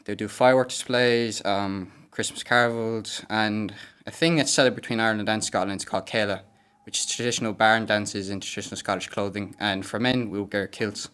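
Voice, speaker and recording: Clear-voiced teenage male student. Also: lowish voice, fairly even intonation, a little fast, fairly bright tone. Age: teens. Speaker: student interview guest. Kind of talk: casual interview answers.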